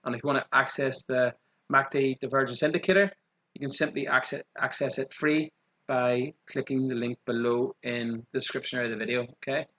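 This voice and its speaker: Irish man, fast talker, chart walkthrough, slightly muffled desk mic. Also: medium pitch, steady tone, fairly bright tone. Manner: quick, repeats 'okay' as a filler. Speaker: trading channel host. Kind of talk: screen-share chart walkthrough.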